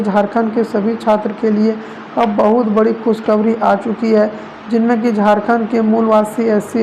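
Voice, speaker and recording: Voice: medium pitch, a little mellow, low-quality recording. A news presenter narrating regional reports in wordy, repetitive phrasing. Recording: noticeable background noise.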